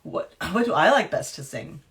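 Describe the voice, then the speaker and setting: A woman in her thirties with a low voice, an author reading her own novel aloud at home into a webcam, hushed and whispery when she reads, chatty with fillers when she talks. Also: big pitch swings, normal speed. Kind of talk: storybook reading then casual chat. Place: dim room at home.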